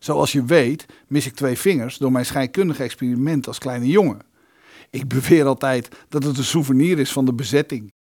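A man's voice: mid-range voice, moderate pace, a little mellow, dry recording, studio quality, natural voice. An actor playing a scheming, dryly witty male lodger in a radio play, talking in scripted dialogue and storytelling. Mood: wry reminiscing.

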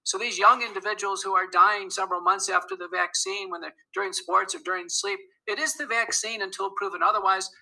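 Assertive male interview guest, sharp bright tone, high and gravelly. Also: animated, a little fast, few pauses, rough recording. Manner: firm, assertive, lists facts steadily. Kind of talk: interview clip.

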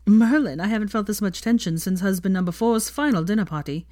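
Woman reading as a droll, prim young gentleman wizard. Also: medium pitch, a little fast, no room sound, clean studio sound, pitched above the performer's own voice, lightly acted. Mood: droll, amused.

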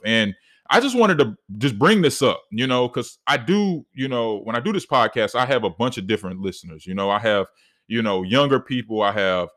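A man in his thirties with a deep voice, hosting a solo podcast and talking earnestly, with many fillers and restarts. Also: fairly bright tone. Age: 30s. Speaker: podcast host.

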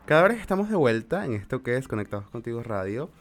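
Male radio host with lively pitch. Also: quick pace, over music. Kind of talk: conversational live radio interviewing.

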